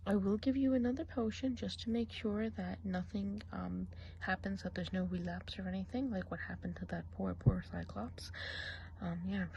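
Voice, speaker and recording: A woman's voice: mid-range voice, gravelly, slight background noise, rough recording. A woman playing a gossipy whispering woodland witch. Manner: soft, half-whispered, chatty and gossipy. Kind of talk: ASMR role play.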